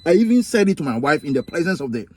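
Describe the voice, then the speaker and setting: A man's voice: on the high side, big pitch swings, very fast, breathy edge. A young man with a Ghanaian accent telling a personal story in a vlog, repeating himself emphatically. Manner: emphatic, repetitive, preachy storytelling. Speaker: vlogger.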